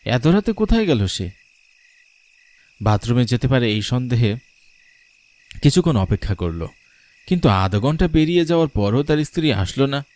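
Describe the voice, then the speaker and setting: A man's voice: mid-range voice, very animated, normal speed, long pauses, darkish tone, no echo. A man narrating a scripted cartoon folk tale as a dramatic storyteller. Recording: music behind the voice.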